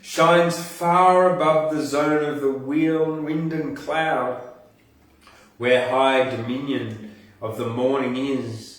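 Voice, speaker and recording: A man hosting a poetry reading, reciting verse and making offhand remarks in a halting, deliberate manner. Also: mid-range voice, fairly even intonation. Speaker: poetry podcast host and reader. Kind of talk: poetry recitation with casual asides between poems. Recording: echoey room, distant from the mic.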